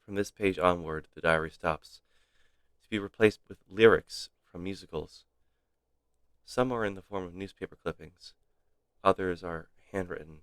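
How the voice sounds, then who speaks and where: A man streaming a game, reading its text aloud dramatically with measured, theatrical pauses. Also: low-pitched, fairly bright tone, dry recording.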